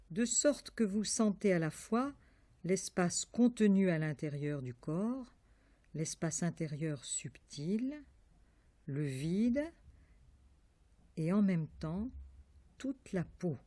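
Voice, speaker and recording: A woman leading a guided yoga meditation, giving calm, measured instructions with pauses between phrases. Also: mid-range voice, no room sound. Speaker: yoga nidra meditation teacher.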